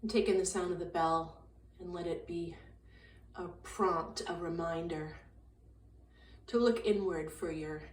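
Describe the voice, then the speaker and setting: Slow, low-voiced woman guiding meditation. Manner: calm, unhurried, gentle, with long pauses between short phrases. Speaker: meditation guide. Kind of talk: guided meditation, slow soothing instruction. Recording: roomy echo, distant mic, faint noise.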